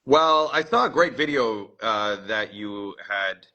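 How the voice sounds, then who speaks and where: A man's voice: on the low side, lively intonation, takes it slowly, sharp tone, muffled recording. A man hosting a casual livestream interview, chatty and full of fillers, often repeating his words. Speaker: livestream host.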